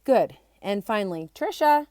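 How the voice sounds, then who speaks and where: A woman voicing a kindly but firm schoolteacher in a storybook, polite and brisk. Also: medium pitch, sing-song, darkish tone, no echo, studio quality, natural voice. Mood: encouraging.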